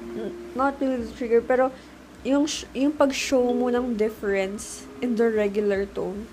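A young woman with a Filipino accent thinks aloud in a casual solo recording, mixing Tagalog and English. She pauses often with fillers as she works through her thoughts. Her voice sits a little high, with faint music playing underneath. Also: a little mellow, rough recording. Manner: reflective, mixes Tagalog with English, frequent fillers. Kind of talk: casual personal monologue.

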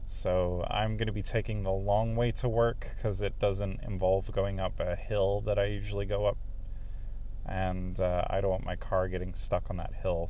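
Slow, hesitant man chatting over car noise. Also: low-pitched, a little mellow. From Portugal. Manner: hesitant, unhurried. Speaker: host of a personal driving talk series. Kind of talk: casual rambling monologue. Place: inside a car while driving. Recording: clear car and road noise. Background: car noise, scraping wipers.

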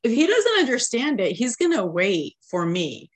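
A woman's voice: fairly high pitch, huge pitch swings, moderate pace. A woman in her forties, English not her first language, co-hosting a podcast and venting candidly, swearing freely. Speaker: podcast co-host. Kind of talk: casual chat.

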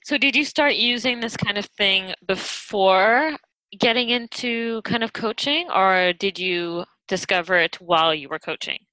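A woman's voice: mid-range voice, unhurried, sharp tone, no echo, low-quality recording. A woman hosting a health podcast, introducing her guest and asking questions in an upbeat, enthusiastic way. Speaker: podcast host, nutrition practitioner and health coach.

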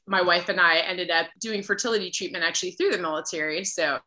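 A woman's voice: fairly low pitch, steady tone, quick pace, sharp tone. An American woman in her thirties telling her own story conversationally as an interview guest. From Massachusetts, United States. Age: 30s. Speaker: podcast guest, military physician.

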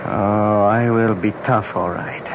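Grave, low-voiced young prince, noisy recording. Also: male voice, slow, gravelly. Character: grave, courteous young prince marked for death. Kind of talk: 1970s radio drama acting. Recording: muffled, very noisy old radio recording.

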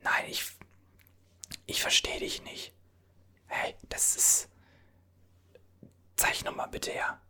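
A performer whispering as a bewildered time traveler from an older era, puzzling over strange objects in a halting voice full of restarts. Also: pushed and bright. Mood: puzzled. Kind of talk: whispered ASMR roleplay.

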